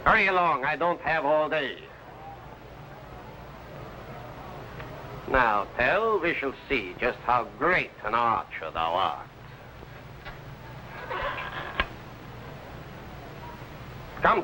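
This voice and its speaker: An imperious tyrant from an old adventure serial. The voice is forceful and very animated, mocking in grand archaic phrases. A faint layer of old broadcast noise sits over it. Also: male voice, medium pitch. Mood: gloating. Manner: theatrical, archaic speech, taunting. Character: cruel, haughty male governor, mocking and imperious tyrant. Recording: noisy old broadcast recording.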